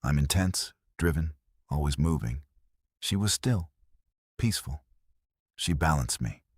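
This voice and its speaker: A man with a very deep, husky voice voicing a grieving widowed businessman in his early forties, speaking careful, measured English with a Korean accent. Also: expressive, dry recording, studio quality, exaggerated voice. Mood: wistful. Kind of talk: storybook narration.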